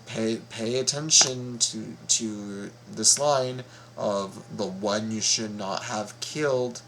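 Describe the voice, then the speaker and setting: A man giving casual reaction commentary, explaining things in a halting, repetitive way. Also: on the low side, a bit bright, slight background noise, poor audio. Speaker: reaction video host.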